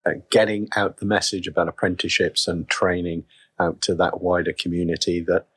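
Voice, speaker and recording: A middle-aged man from South Wales speaks in a low, husky voice, with only a faint trace of a Welsh accent left. He talks in a measured, reflective way as a chief executive being interviewed, often restarting his sentences. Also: flat delivery, a bit bright. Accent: faint Welsh, mostly neutral. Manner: measured, with frequent restarts and fillers.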